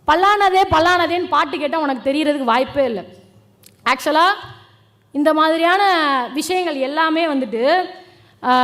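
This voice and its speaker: A young woman with a Tamil Nadu accent giving a fiery, colloquial political stage speech, challenging and combative, throwing out rhetorical questions. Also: high voice. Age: young adult. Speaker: political speaker.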